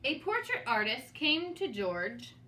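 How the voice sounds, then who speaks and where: Bright, expressive woman reading a storybook. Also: sits high, a little slow. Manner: dramatic, teacherly, with asides to young listeners. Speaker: storybook reader. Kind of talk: expressive read-aloud for children.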